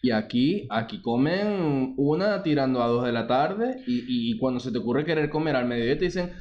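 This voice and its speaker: Jokey Venezuelan podcast co-host; lo-fi, muffled sound. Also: male voice, mid-range voice, animated, moderate pace, darkish tone. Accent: Venezuelan Spanish. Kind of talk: casual chat.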